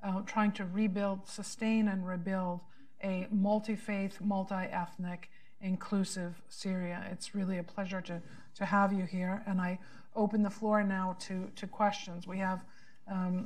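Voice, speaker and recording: Flat-voiced woman moderating a panel. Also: medium pitch. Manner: formal, with frequent "uh" pauses. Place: conference room.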